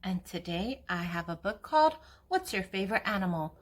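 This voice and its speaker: An older woman reading a picture book aloud to children, expressive and deliberate, with emphasis on key words. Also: medium pitch. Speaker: children's story reader and channel host.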